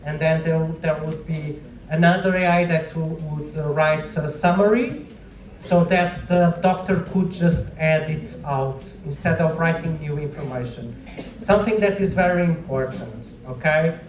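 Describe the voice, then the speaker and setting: Echoey, higher-pitched Portuguese-accented male lecturer. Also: unhurried. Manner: keeps checking in with short tag questions like "right?" and "okay?". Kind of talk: informal classroom lecture to students. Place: indoor lecture room with audience. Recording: echoey room, distant microphone.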